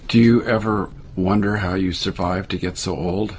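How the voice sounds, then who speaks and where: A man's voice: low-pitched, steady tone, normal speed, slight background noise. A man interviewing a guest, asking brief, plain questions.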